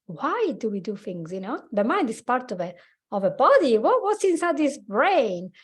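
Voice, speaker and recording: A woman's voice: a little high, sing-song, normal speed. A woman in her forties with an Italian accent, a coach talking animatedly as a podcast guest, laughing often and speaking slightly broken English. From Italy. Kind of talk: casual interview chat.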